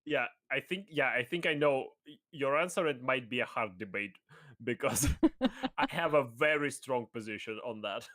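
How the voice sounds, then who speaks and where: A man's voice: mid-range voice, moderate pace, fairly bright tone. Rambling, laughing man in casual chat. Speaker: guest.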